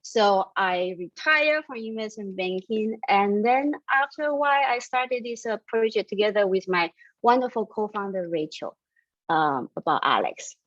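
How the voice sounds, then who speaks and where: A woman's voice: medium pitch, animated, normal speed, fairly bright tone, no echo, muffled recording. A middle-aged woman, a startup founder from Taiwan raised in Austria, answering interview questions earnestly with plenty of fillers. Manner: earnest and enthusiastic, with frequent fillers and occasional laughs.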